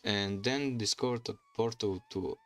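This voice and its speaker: A man from Bulgaria with a Bulgarian accent, chatting casually on a livestream in halting, rambling English full of restarts. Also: fairly low pitch, a bit bright, faint music behind. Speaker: streamer and YouTuber. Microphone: desk microphone.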